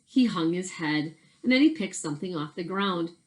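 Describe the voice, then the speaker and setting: A woman reading a children's storybook aloud as a teacher, with deliberate, emphatic phrasing and expressive narration. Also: fairly low pitch.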